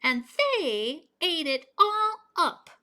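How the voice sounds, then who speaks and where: A woman voicing a small, upset baby girl bear in a children's storybook, high and squeaky, sputtering and wailing. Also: sing-song, drawn-out words, pushed and bright, full character voice. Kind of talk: children's storybook read-aloud.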